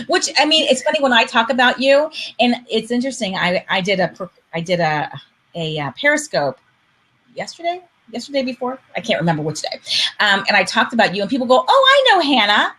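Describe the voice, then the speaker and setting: A woman's voice: on the high side, sing-song, a little fast, a bit bright. An American woman hosting a casual live-stream interview, enthusiastic and rambling, often restarting her sentences, on a video call. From United States. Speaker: show host.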